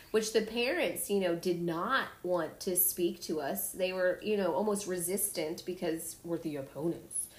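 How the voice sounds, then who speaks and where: A woman hosting a podcast, telling stories in a casual, animated way and acting out the people in them. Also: fairly low pitch. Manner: animated storytelling, acts out kids' voices. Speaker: podcast host. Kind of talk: casual chat. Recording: echoey room.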